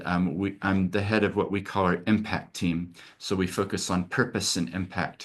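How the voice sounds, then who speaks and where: A middle-aged man from America, a lawyer hosting a webinar over a video call, talking in an earnest, open, conversational way. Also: sits low.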